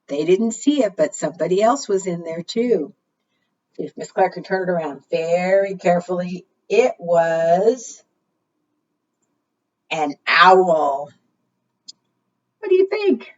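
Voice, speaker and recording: Female storytime librarian, lively intonation, teacherly read-aloud for preschoolers, heard dully from a distance. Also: lowish voice. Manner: asks the young listeners frequent simple questions; expressive, deliberate teacherly delivery. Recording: muffled, distant microphone.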